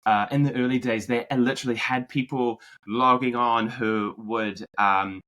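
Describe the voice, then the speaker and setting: A man from New Zealand with a drifting New Zealand accent, a podcast guest telling his story in a relaxed way. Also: medium pitch, unhurried. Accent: New Zealand, mixed with American. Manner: relaxed storyteller, many fillers and restarts. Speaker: podcast guest, marketing executive. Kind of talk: conversational interview.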